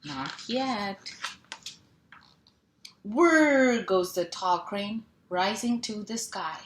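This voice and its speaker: A woman reading a picture book aloud to young children in a sing-song, animated way, stretching sound words and asking simple questions. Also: medium pitch, drawn-out words. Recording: roomy echo, clear background noise.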